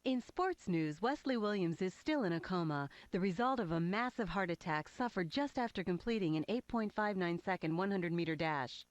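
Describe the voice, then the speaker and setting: A brisk female newsreader , played by a woman, speaks in a clipped, clear delivery. The recording is dry and close. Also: lowish voice, lively intonation, no echo, highs cut off, lightly acted. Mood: formal.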